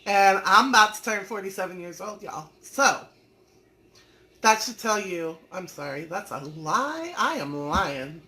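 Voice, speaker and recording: Woman, 40s, bright tone, folksy live-stream host, reads comments and teases viewers. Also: mid-range voice, animated, a little slow. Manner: chatty and folksy, teasing viewers by name. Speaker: online business community host.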